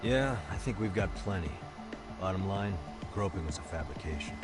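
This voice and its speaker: A composed, sharp detective in a video game, with a fairly low voice. He lays things out in a measured, deliberate way over loud music. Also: expressive. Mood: firm, analytical. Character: composed, sharp male detective laying out a case. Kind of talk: English game dub dialogue.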